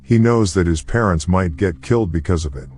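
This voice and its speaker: A man's voice: low voice, fairly quick, a little mellow, soft background music. A man reading scripted narration as the narrator of a movie recap.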